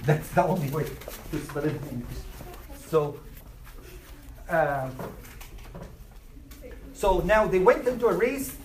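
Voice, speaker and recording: A man's voice: fairly high pitch, sing-song, slow pace, long pauses. A man from Egypt, teaching a class, emphatic and repeating his points. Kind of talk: classroom storytelling. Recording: echoey room, faint noise.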